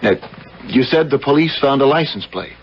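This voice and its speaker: A man's voice: medium pitch, lively intonation, normal speed, lightly acted. Man acting level-headed brother, skeptical, firm, very varied intonation, 1970s radio play, muffled faintly noisy sound. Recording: dull, slightly noisy vintage radio broadcast.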